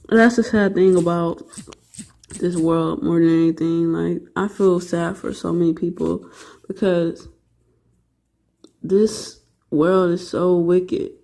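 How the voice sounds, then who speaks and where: A woman's voice: fairly low pitch, fairly even intonation, slow, vocal fry, long pauses, warm tone. A woman in her twenties talking straight to camera in a casual vlog, blunt and profane, rambling with lots of fillers and emphatic repeats. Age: 20s. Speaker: vlogger and aspiring rapper.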